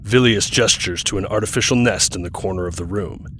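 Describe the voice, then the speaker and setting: A man's voice: on the low side, brisk, a bit bright, a little hiss or hum. A man reading scripted narration for an audio drama. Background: music.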